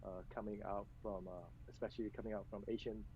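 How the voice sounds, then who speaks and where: A man from Malaysia, a games executive, answering interview questions over a video call in a soft, hesitant way with many pauses and fillers. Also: fairly low pitch, little pitch movement, slight background noise. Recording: muffled video-call audio.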